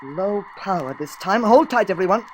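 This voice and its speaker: A noisy vintage radio recording carries a woman playing a nervous boy space pilot. The voice is medium-pitched, with stiff, precise phrasing and an earnest, apologetic manner. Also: animated, moderate pace, lightly acted. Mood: serious. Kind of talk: old-time radio drama acting.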